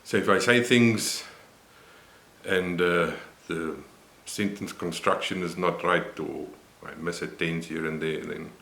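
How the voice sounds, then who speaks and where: Deep-voiced older Afrikaans-man. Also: steady tone, vocal fry, pushed and bright, echoey room. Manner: unhurried, reflective, many pauses and fillers. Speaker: vlogger. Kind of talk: personal health vlog.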